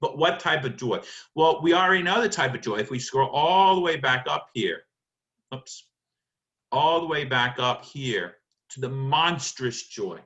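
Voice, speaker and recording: Male literature lecturer. Sharp, bright delivery, emphatic and theatrical, asks and answers his own questions. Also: medium pitch, lively intonation, a little slow, dull audio. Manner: emphatic, dramatic, rhetorical questions answered by himself.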